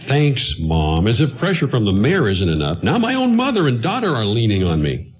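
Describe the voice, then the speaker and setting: A man's voice: medium pitch, wildly swooping pitch, moderate pace, faint music behind. An actor playing a harried, good-natured father and producer in a radio play, speaking with theatrical, exasperated emphasis.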